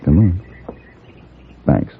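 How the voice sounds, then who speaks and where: An American actor, middle-aged, playing a cool, commanding gentleman gunfighter in a 1950s radio western, measured and dry. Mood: calm, guarded. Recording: muffled, faintly hissy 1950s radio recording.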